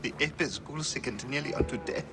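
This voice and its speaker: A man playing a despairing, anxious Egyptian high priest in a video game, grave and halting in his delivery. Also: loud music behind the voice, close to an everyday voice. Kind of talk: dramatic video game acting.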